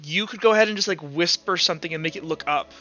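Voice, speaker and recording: A man narrating a tabletop roleplaying game as game master in an animated, casual, profane way. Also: on the high side, fast talker, highs cut off.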